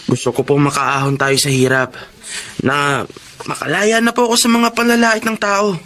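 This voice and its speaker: A man's voice: fairly high pitch, flat delivery, normal speed, bright, forceful tone, a little hiss or hum. A man acting a humble, patient young student janitor in a Filipino radio drama, speaking politely and earnestly with a Filipino accent. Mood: earnest, respectful.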